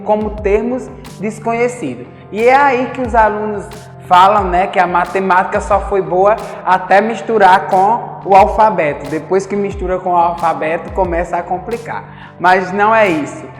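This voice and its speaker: A man teaching a recorded math lesson, talking casually and putting direct questions to his students. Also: high voice. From Brazil. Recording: echoey hall with faint music.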